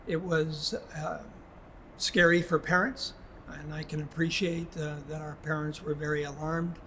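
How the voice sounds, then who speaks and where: A man with a fairly high voice gives a formal address as a school official. He speaks very slowly and haltingly, choosing his words with care. There is faint noise behind him. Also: level tone, sharp tone. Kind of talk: public address, prepared statement spoken haltingly.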